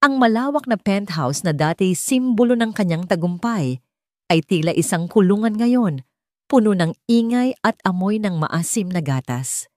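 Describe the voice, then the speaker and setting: A woman's voice: mid-range voice, expressive, moderate pace, mellow, no echo, studio quality. A woman with a Filipino accent reading a dramatic scripted story as its narrator.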